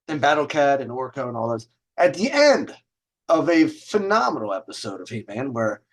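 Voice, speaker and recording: A middle-aged man hosting a video segment, telling stories in a casual, rambling, informal way with conversational asides and some swearing. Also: medium pitch. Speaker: host of a dating and lifestyle video segment. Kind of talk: casual, rambling storytelling to camera.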